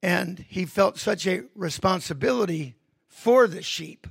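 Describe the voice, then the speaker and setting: Gravelly-voiced man preaching a sermon. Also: high voice, a little slow, no room sound, studio quality. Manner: builds from quiet reflection to loud emphatic preaching. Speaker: pastor.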